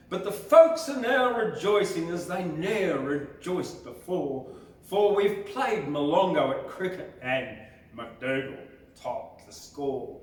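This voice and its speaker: A man reciting a bush ballad as a poetry reader, in a theatrical, deliberate storytelling style. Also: a little high. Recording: very echoey, distant microphone.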